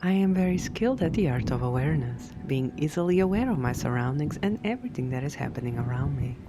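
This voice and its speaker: A woman reads scripted affirmations for sleep hypnosis in a measured, deliberate way, phrase by phrase. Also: low-pitched, animated. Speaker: affirmations narrator. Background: background music.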